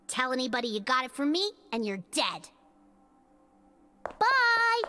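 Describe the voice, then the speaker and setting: A sly young game intern, high and sharply bright. She is bossy and teasing, with a conspiratorial, lively delivery. Music plays behind her. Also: measured pace, full character voice. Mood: playfully threatening. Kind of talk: animated video game acting.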